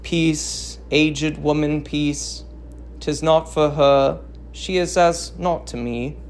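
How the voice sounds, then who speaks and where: A woman's voice: low voice, steady tone, slow, darkish tone, a little hiss or hum, pitched below the performer's own voice. A woman voicing a stern, wrathful Greek king with a commanding, declamatory delivery in a dramatic audiobook. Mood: furious command.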